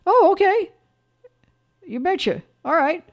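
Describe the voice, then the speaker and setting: A radio host taking listener calls, chatty and upbeat, with quick affirmations between calls. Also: very high-pitched, big pitch swings. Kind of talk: call-in radio chat.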